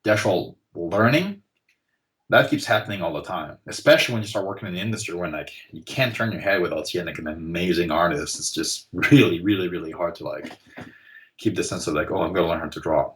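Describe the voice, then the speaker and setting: A man in his forties, a guest artist chatting casually on a podcast, relaxed and rambling with lots of fillers. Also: sits low, a little fast. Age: 40s.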